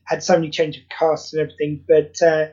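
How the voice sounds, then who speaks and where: A podcast guest in casual chat, animated, slipping into acted voices when quoting people and using many fillers. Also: mid-range voice, quick pace. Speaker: podcast guest, audio drama creator. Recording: slightly dull lo-fi audio.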